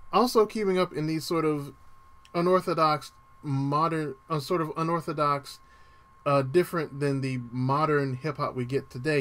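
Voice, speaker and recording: A man's voice: on the high side, fairly bright tone. Chatty man with a dry voice. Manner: chatty, with frequent ums and throat clearing. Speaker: music show host. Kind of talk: casual radio-style show talk.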